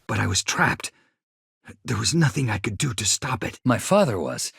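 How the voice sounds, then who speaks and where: A man's voice: mid-range voice, big pitch swings, moderate pace, creaky, a bit bright, husky, dry recording, clean studio sound, exaggerated voice. An actor playing an earnest, anxious male spaceship engineer in a video game, polite and hesitant in manner. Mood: troubled, haunted. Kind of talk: game dialogue, companion voice lines.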